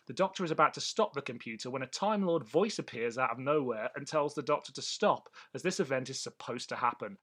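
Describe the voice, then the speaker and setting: Guy co-hosting a podcast, normal pitch, chatty, kinda informative trivia stuff. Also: a bit bright. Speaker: podcast co-host. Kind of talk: casual chat about a vintage TV and radio show.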